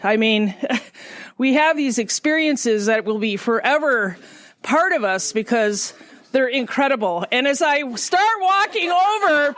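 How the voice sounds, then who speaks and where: A woman's voice: high voice, fairly bright tone, no echo. A woman hosting a casual radio interview, talking in a chatty, rambling way with an Upper Midwest American accent. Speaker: radio show host.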